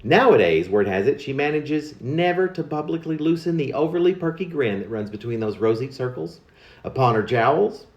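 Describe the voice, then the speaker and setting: A man's voice: medium pitch, moderate pace, reverberant, lo-fi sound. A man reciting his own humorous narrative poem in a wry, confiding way, like a storyteller passing on gossip. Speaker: poet reading own work.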